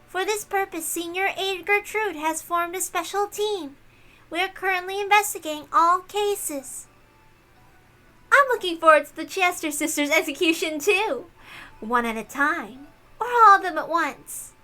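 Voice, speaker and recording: A woman's voice: very high voice, unhurried, pushed and bright, soft background music, voice pushed up, exaggerated voice. A woman voicing a prim, ruthless young female inquisition officer, formal and coldly dutiful, in a visual novel read-aloud. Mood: stern, ruthless.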